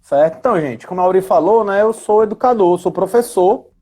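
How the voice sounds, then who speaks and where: Mellow Northeastern Brazilian man chatting. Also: high-pitched, brisk. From Ceará, Brazil. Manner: chatty, frequent tag questions seeking agreement. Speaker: guest, history teacher and educational coordinator. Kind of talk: casual live chat, explaining.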